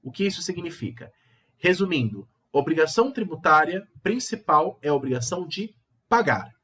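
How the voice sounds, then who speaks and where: A Brazilian man teaching law in an online video lesson, hoarse from a cold, explaining step by step and repeating key terms with rising emphasis. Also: fairly high pitch, sing-song, moderate pace, fairly bright tone. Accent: Brazilian Portuguese.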